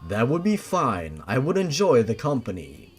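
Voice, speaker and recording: A man plays a faceless monster father in a horror story. He is courteous and formal, with measured wording, and music is in the recording. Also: medium pitch, huge pitch swings, husky, voice pushed down.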